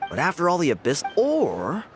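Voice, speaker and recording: A man's voice: fairly high pitch, wildly swooping pitch, normal speed. An actor playing a cheerful, boastful young male dancer in a video game, chatty and self-assured. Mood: chatty, earnest. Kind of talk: video game character dialogue. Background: game music.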